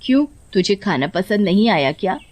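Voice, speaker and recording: A woman's voice: mid-range voice, sing-song, normal speed, darkish tone. A woman voicing a domineering, scheming older mother-in-law in a cartoon story. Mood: falsely sweet. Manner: scolding, domineering, falsely sweet to her son. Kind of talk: Hindi cartoon story voice acting.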